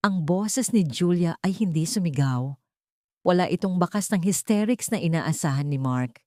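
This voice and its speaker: A woman reads a dramatic audiobook in a measured, unhurried way. Her voice sits low and mellow, and the sound is dry and close to the mic. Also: animated, dry recording. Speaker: storyteller. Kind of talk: scripted dramatic audiobook narration.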